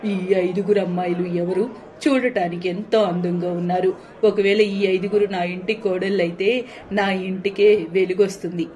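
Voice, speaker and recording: A woman voicing a middle-aged mother-in-law in a cartoon story, kindly but firm, coaxing and measured. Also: medium pitch, steady tone, mellow, pitched below the performer's own voice, lightly acted. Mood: admiring.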